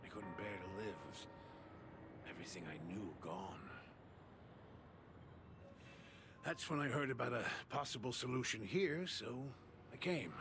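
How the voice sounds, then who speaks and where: An actor playing a dying old war survivor in a game, speaking with wistful, halting courtesy. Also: male voice, lowish voice, expressive, background noise, lightly acted. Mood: sorrowful. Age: older. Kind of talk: dramatic game voice acting. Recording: game audio with loud music behind.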